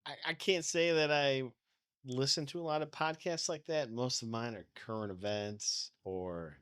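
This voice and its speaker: A man's voice: mid-range voice, moderate pace, a bit bright, smooth. A man co-hosting a podcast in casual banter, with a teasing, wry way of talking. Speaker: podcast co-host.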